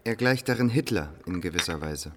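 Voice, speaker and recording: An actor playing a dry, unyielding historian in a radio play, speaking soberly and precisely. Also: male voice, sits low, expressive, fairly quick, background noise. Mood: drily provocative. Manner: sober, precise, matter-of-fact. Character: dry, unyielding male academic historian, provocatively factual. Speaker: historian giving expert opinion. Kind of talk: naturalistic radio play dialogue.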